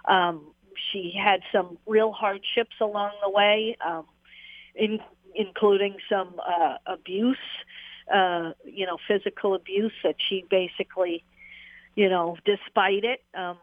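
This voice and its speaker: A woman's voice: medium pitch, fairly even intonation, a little slow, no echo. A woman and former jockey, telling stories in a rambling, casual interview with frequent laughs and fillers. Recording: tinny phone line.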